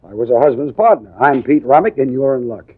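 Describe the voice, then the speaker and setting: Breezy, animated American ex-ballplayer. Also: male voice, medium pitch, fast talker, husky. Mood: chummy. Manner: slangy, chummy, chuckling. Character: breezy, friendly American ex-ballplayer turned businessman abroad. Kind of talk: 1940s radio drama acting. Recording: tinny vintage radio recording.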